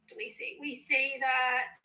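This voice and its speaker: A woman with a husky, slightly worn voice that still sits fairly high, talking to viewers in an unscripted live video. She goes slowly and pauses often, picking her words carefully and apologizing along the way, like a coach talking things through. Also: flat delivery, pushed and bright. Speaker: life coach. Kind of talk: spontaneous live video talk.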